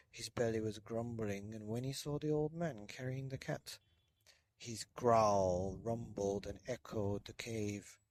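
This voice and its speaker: A man reading a children's bedtime story in a loose, dramatic way with drawn-out emphasis. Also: on the low side, darkish tone, slight background noise. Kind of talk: children's story narration, partly improvised.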